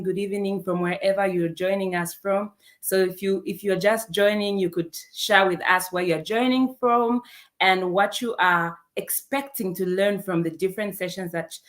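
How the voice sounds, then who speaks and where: An enthusiastic woman with a Zambian accent moderates an online event. Her voice sits at a middle pitch. She addresses remote attendees as a host, greeting them with emphasis. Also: fairly bright tone. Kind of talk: welcoming an online audience to a training event. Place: online video meeting.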